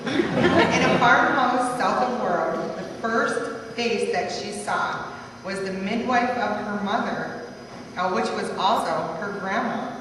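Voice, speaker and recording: A woman's voice: mid-range voice, takes it slowly. Woman reading rhymed family history aloud, distant in a very reverberant hall, occasional stumbles. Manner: stumbles over words as she reads. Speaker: reader of a family life story. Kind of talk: rhyming verse read aloud. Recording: echoey hall from far off, faint noise.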